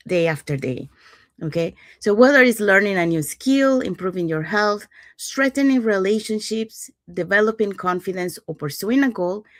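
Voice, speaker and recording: A woman's voice: medium pitch, no echo, studio quality. A woman from Panama hosting a casual online talk show, upbeat and welcoming. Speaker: host.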